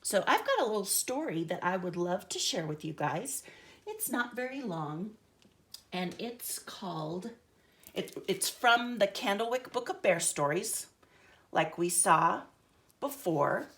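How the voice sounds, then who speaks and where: Slow, lively-voiced grandmotherly storyteller. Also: mid-range voice. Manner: gentle, chatty, asks children questions. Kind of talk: children's storytime reading.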